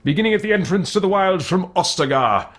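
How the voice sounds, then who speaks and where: A man reading aloud as a loving father writing to his son in a video game, with measured, deliberate phrasing. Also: high voice, expressive, pitched above the performer's own voice. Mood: fond, instructive.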